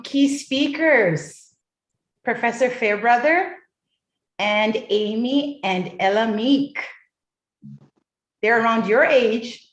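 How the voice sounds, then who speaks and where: A woman's voice: fairly high pitch, roomy sound, low-quality recording. A woman moderating a youth forum on a video call, chatty and encouraging. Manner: encouraging, chatty, drawn-out delivery.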